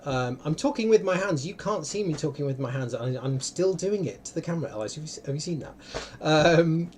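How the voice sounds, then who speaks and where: A man hosting an online live event in a casual, unscripted way, often halting and interrupting himself. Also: a little high, lively intonation, darkish tone, a little hiss or hum. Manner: rambling, halting, self-interrupting. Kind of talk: casual, unscripted livestream talk. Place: indoor room, online video call.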